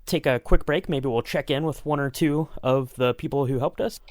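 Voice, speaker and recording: A man's voice: medium pitch, moderate pace, dry recording. A man co-hosting a podcast, chatting casually in a rambling, easygoing way. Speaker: podcast co-host. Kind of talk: casual conversational chat.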